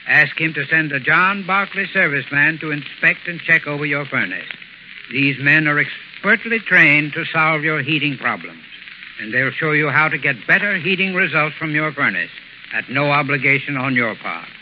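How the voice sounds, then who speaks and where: An American man speaks as a formal sponsor's expert in a 1930s radio ad. His voice is fairly high and instructive, on a muffled recording with faint hiss. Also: animated, normal speed. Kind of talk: scripted 1930s radio advertisement.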